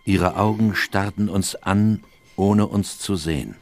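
An actor playing a detective's companion, narrating a radio play case in a grave, measured manner. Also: male voice, low-pitched, vocal fry, a little mellow, heavily acted. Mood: grave, shaken. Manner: sober, measured storytelling. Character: Doctor Watson, male companion recounting a detective case gravely.

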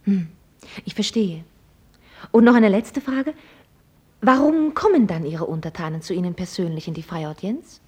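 An actress playing a proud, mockingly polite young American heiress in a German radio play, speaking in a formal, ironic and probing way. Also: female voice, mid-range voice, moderate pace, darkish tone, husky, natural voice. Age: young adult.

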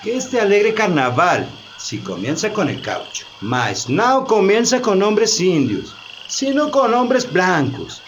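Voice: mid-range voice, very animated, slow, lo-fi sound, a little hiss or hum. An actor playing a jolly, teasing carnival barker in a radio play, with a showy sing-song delivery and tinged Spanish. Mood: mock scolding. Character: jolly, teasing Brazilian carnival barker inviting people along. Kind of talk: theatrical radio drama acting.

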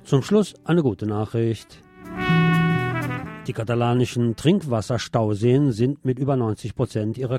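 A man's voice: medium pitch, dark tone. A man presenting a scripted radio magazine with news and commentary, phrasing things in a wry, dramatic way.

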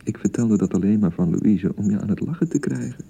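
A man acting an excitable young newlywed husband in a radio drama, by turns blustering and awkwardly pleading. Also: fairly low pitch, brisk, vocal fry, a little hiss or hum. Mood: defensive, placating. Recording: thin, band-limited 1990 radio broadcast.

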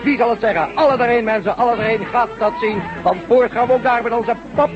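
An actor playing an excitable, slangy pop radio disc jockey making a hyped announcement in a radio play. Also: male voice, extremely high pitch, quick pace, music in the background, a crowd in the background. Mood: excited, hyped. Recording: dull vintage 1970s radio recording.

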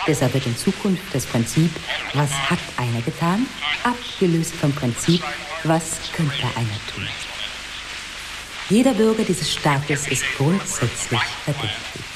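Stern woman leader giving a formal speech, really low voice, music behind her. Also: low-quality recording. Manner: measured, declamatory. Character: solemn female head of state justifying surveillance. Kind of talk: formal political address in radio satire.

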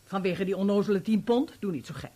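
An actress playing a remorseful, unfaithful wife in a Dutch radio play, speaking earnestly and persuasively. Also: female voice, mid-range voice, quick pace, mellow, close to an everyday voice. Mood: puzzled, excited. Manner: earnest, emotional, persuasive pleading. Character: unfaithful, remorseful young wife, pleading and resolute.

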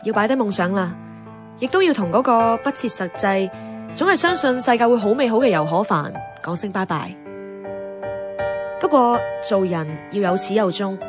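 A woman's voice: medium pitch, natural voice. A woman acting a wistful, earnest young Hong Kong woman in a Cantonese radio drama. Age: young adult. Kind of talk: radio drama acting with reflective first-person narration.

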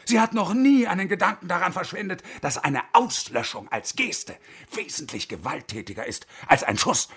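A man's voice: high-pitched, big pitch swings, normal speed, fairly bright tone, breathy edge, heavily acted. An actor in his fifties playing a bitter, sarcastic unemployed engineer turned hostage-taker in a radio drama, domineering and theatrical. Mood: scornful. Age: 50s.

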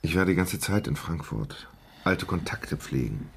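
A man's voice: very deep voice, lively intonation, a little slow, gravelly, slightly rough, heavily acted. An actor playing a hesitant, friendly male confidant in a radio drama. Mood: concerned. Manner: hesitant, conversational.

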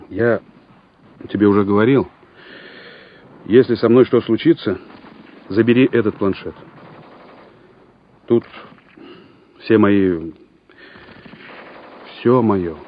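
An actor playing a resolute, comradely army officer in a radio war drama. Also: male voice, fairly low pitch, slow, pauses often. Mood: quiet, solemn. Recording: muffled lo-fi, battle noise behind.

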